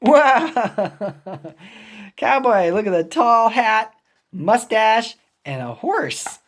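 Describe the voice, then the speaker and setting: Very animated woman reading to children. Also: fairly high pitch, very slow. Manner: expressive, full of exclamations and sound effects. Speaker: children's English teacher. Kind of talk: interactive picture book read-aloud.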